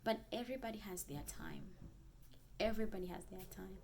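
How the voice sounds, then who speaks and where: A young woman from Africa with an African accent, a native Bemba speaker, chatting casually and earnestly to viewers in a vlog, haltingly and often repeating phrases. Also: medium pitch, expressive, gravelly, darkish tone, slight background noise. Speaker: vlogger. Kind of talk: casual personal vlog chat.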